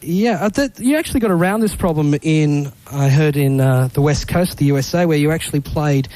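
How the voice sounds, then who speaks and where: A man's voice: on the high side, brisk, warm tone. A man hosting a live call-in radio show, putting questions to a band. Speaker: radio host.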